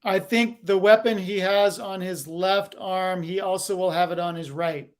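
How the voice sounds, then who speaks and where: A man with a notably high voice joins a relaxed panel chat. He chimes in with short, thoughtful remarks. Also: moderate pace.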